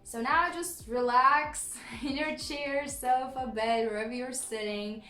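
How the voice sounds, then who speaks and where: A young woman whose first language is Romanian tells her story in English with a noticeable Romanian accent. Her voice sits high, and she chats easily, dropping in ums and small laughs. The room rings with echo, and soft music plays underneath. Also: steady tone, slow. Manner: chatty, laughs often, frequent ums. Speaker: YouTuber. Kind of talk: casual storytime vlog.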